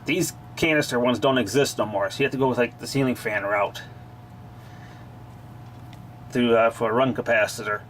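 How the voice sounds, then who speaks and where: A man's voice: mid-range voice, quick pace, a bit bright. A man giving a casual, off-the-cuff repair narration as a hobbyist, plain-spoken and informal with some mild swearing. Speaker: hobbyist repairer of vintage tape machines. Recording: clearly noisy amateur video recording.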